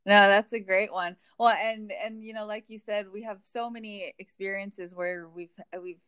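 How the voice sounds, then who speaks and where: Woman from hawaii chatting casually, lots of um and you know, close dry sound, middle pitch. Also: fairly even intonation, moderate pace, fairly bright tone, clear, resonant tone, no room sound. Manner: reflective, many fillers and restarts. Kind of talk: casual conversation.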